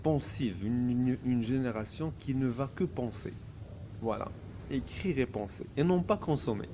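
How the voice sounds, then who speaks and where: A man's voice: medium pitch, lively intonation, normal speed, dark tone, slight background noise. A man whose first language is Arabic, delivering a grandiose solo video monologue in a self-assured tone, full of hesitations. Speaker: vlogger.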